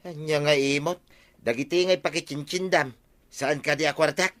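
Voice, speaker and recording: A man playing a bright-voiced teasing husband. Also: on the high side, steady tone, moderate pace, close to an everyday voice. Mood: bantering. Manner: teasing, bantering. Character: easygoing husband who loves drinking with friends. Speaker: radio drama actor. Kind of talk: comic radio drama acting.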